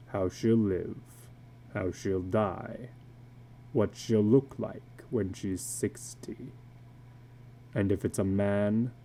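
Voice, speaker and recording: A man voicing a weary, bitter older tattooed carnival man in a slow, grave, brooding dramatic reading of a story. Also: lowish voice, warm tone, pitched below the performer's own voice.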